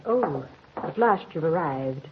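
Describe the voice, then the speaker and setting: An actress playing a sober, deferential housekeeper in an old-time radio drama, speaking plainly and respectfully. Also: female voice, deep voice, expressive, slow. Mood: sober concern. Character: sober, deferential female housekeeper nursing her master. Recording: dull noisy old radio recording.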